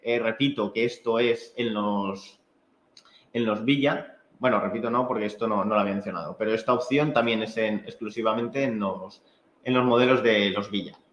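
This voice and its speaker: A man with a Spain Spanish accent giving an informal technical training, explaining in a halting, conversational way with frequent check-in tags. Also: fairly low pitch, slight background noise. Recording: echoey room, dull muffled sound.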